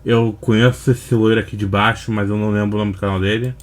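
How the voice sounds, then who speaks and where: A Brazilian man with a fairly low voice hosts a reaction video. He comments off the cuff in a slangy, informal way, often in short interjections. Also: steady tone, fast.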